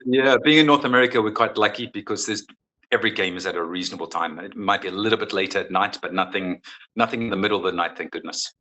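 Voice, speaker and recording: A man's voice: lowish voice, fast talker, pushed and bright. A man speaking as a football coach in a conversational interview, thoughtful and often restarting his phrases. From South Africa.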